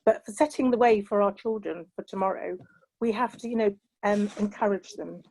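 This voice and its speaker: A woman's voice: mid-range voice, fairly quick, gravelly, a little mellow, poor audio. A middle-aged woman with a British accent, sharing personal reflections in an earnest, heartfelt way and often saying "you know" as she talks. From England. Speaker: panel guest.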